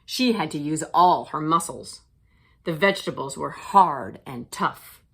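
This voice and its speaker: A woman teacher reading a children's storybook aloud with deliberate, expressive phrasing for young listeners. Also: sits low, vocal fry, breathy edge.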